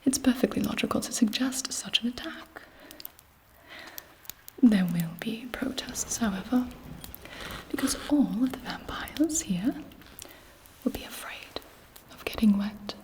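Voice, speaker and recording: A woman voicing a scheming, haughty vampire queen in a breathy, deliberate ASMR whisper. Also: a little high, lively intonation, darkish tone, rough recording. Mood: conspiratorial, calculating. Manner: slow, deliberate, imperious. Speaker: ASMR performer.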